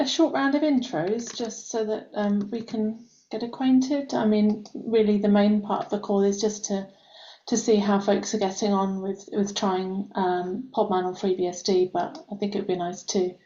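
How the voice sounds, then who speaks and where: A woman hosts an online meeting in a mellow, friendly voice. She uses gentle fillers. Her call audio sounds roomy and slightly dull. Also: mid-range voice, fairly even intonation, normal speed. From United Kingdom. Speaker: meeting host, program manager. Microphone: video call.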